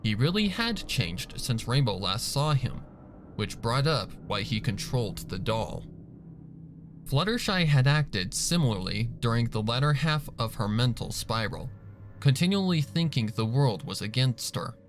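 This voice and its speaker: A man's voice: mid-range voice, big pitch swings, unhurried, a little hiss or hum. A man reading a story aloud as scripted, dramatic narration. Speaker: fan fiction narrator. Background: background music.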